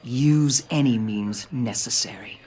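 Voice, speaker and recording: An actress playing a ruthless middle-aged federal agency boss in a video game, blunt and commanding. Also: female voice, a bass voice, takes it slowly, dark tone. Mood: menacing.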